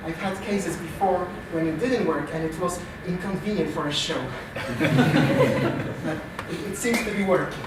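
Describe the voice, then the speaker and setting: A man with a French accent, an AI researcher hosting a live improv comedy show, talking in a dry, self-deprecating way with halting restarts. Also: high-pitched, heavy background noise. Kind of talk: live comedy stage talk. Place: live comedy meetup venue. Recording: distant, very echoey live venue.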